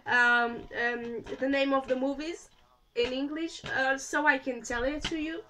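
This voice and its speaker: A woman's voice: sits high, fairly even intonation, unhurried, pushed and bright. A young woman from Portugal with a Portuguese accent, chatting casually about movies she watched, with many fillers and restarts. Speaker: vlogger. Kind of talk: casual movie review vlog.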